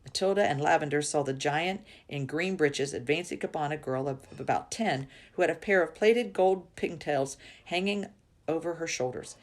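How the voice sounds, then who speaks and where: A woman reading a children's story aloud in an expressive narrating style. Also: sits low, moderate pace. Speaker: reader.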